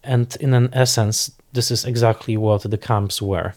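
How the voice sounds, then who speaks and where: A man's voice: on the low side, fairly even intonation, moderate pace, darkish tone. A man speaking as a film expert in an interview, searching for words and repeating for emphasis.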